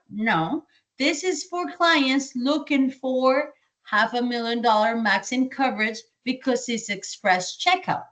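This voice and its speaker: Colombian-accented woman leading sales training. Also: sits high. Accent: Colombian Spanish. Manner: energetic, repeats key points, asks and answers own questions. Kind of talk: training presentation.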